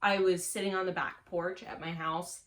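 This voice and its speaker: A woman's voice: lowish voice, flat delivery, moderate pace. A young adult woman vlogging in a casual, gushing chat with offbeat dry asides. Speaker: book vlogger. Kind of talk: casual book chat to camera.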